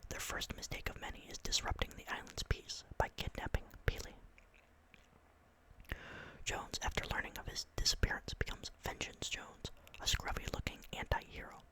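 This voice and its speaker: A tired young man doing whispered ASMR, reading game lore close to the mic with rambling, excitable, apologetic asides. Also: moderate pace, low-quality recording. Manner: soft unvoiced whisper throughout. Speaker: ASMR creator. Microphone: close microphone.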